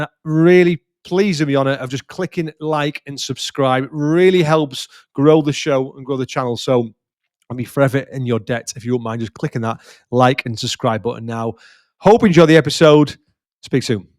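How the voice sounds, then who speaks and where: A man's voice: mid-range voice, a little fast, a little mellow, dry recording, studio quality. A man of forty hosting a podcast in casual chat, loose and talkative with plenty of restarts. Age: 40s. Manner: chatty, loose with many restarts and fillers. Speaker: podcast host.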